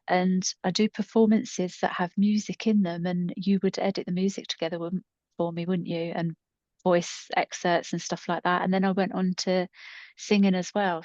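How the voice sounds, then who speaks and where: Woman in her 50s, lower-pitched voice, casual rambling storytelling, dull-sounding recording. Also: darkish tone. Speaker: podcast co-host and coach. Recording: muffled, roomy echoing recording.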